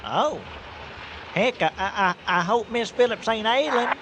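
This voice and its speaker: An actor playing an excitable, kindly country truck driver with a folksy, stammering manner, in a radio comedy drama. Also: male voice, high voice, unhurried. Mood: folksy, chatty. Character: excitable, kindly rural manure hauler, folksy and plain-spoken. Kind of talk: old-time style radio comedy drama acting. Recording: noisy radio drama track with ambience.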